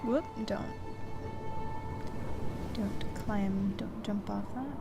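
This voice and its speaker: A woman's voice: mid-range voice, fairly even intonation, very slow, vocal fry, over loud music. A woman streaming a video game, chatting casually to viewers and muttering questions to herself as she thinks aloud. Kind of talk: casual live gameplay commentary, chatting to viewers. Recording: game sound effects behind voice. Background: bleating sheep and game ambience.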